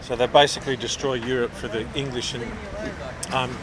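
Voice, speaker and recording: A man's voice: mid-range voice, fairly even intonation, fairly quick, noisy background. A man interviewing outdoors, asking short prompting questions and nudging the talk along. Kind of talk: casual recorded conversation.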